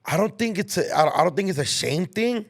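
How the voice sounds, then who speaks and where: A man's voice: sits high, expressive, brisk. A young man whose first language is Spanish, chatting casually with slang and frequent restarts. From United States. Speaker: podcast guest. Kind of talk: casual chat.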